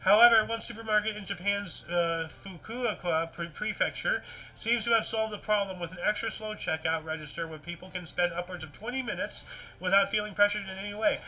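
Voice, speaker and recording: A man hosting a casual news show, reading articles and chatting in a rambling, repetitive, animated way. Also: high-pitched, fairly quick, low-quality recording. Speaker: host of a weird-news talk show.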